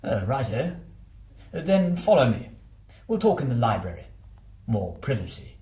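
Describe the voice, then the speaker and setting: A man's voice: medium pitch, sing-song, measured pace, dark tone, reverberant. Man playing a clipped, courteous colonel. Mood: genial, brisk. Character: well-built, genial but steely British colonel.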